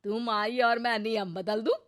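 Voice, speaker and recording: Woman as cartoon mother-in-law, middle-aged, grumpy, bossy, sarcastic, highish pitch, dry sound. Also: fairly even intonation, normal speed, dry recording, lightly acted. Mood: indignant. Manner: scolding, sarcastic. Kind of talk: Hindi cartoon story acting.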